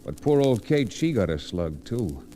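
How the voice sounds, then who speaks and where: A very deep, mellow frontier lawyer in a vintage radio western. He is steady and kindly, with a folksy storyteller's ease. Music plays faintly behind him. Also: measured pace. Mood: grave storytelling. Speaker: narrator and lead. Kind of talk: old-time radio western narration and acting.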